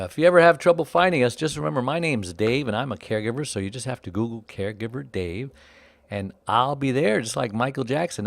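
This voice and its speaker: Lively enthusiastic man hosting radio. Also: medium pitch, dry recording. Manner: enthusiastic, jokey, stretches words for emphasis. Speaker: radio show host. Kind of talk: upbeat radio show hosting. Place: Los Angeles.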